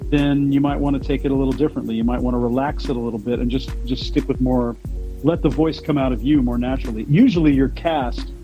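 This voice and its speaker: A man with a deep baritone voice, a voice actor answering interview questions in a relaxed, rambling way with frequent restarts. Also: fast talker, muffled recording, soft background music. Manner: rambling, many restarts and repeated words. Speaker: voice actor guest.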